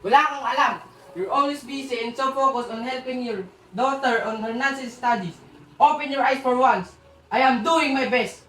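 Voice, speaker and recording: A woman's voice: high voice, moderate pace, a bit bright. An exasperated, defensive husband with a Filipino accent played by a young woman. The delivery is amateur stage drama, recorded in an echoey room with a little background noise. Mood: exasperated, angry. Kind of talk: amateur school stage acting in Taglish.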